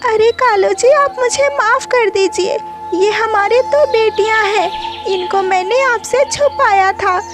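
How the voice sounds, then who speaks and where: A woman's voice: extremely high pitch, unhurried, loud music behind the voice, pitched above the performer's own voice. A woman voicing an anxious, devoted mother songbird in a cartoon moral story, with a tender, pleading manner.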